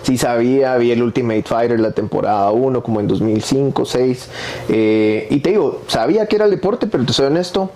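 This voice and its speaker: A Guatemalan man in his forties talks casually as an interview guest. His voice is relaxed and warm, and he chats freely, often ending phrases with a tag question. Some ambient noise sits behind him. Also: mid-range voice, a little fast. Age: 40s. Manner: chatty, frequent tag questions. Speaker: podcast guest, MMA social media creator.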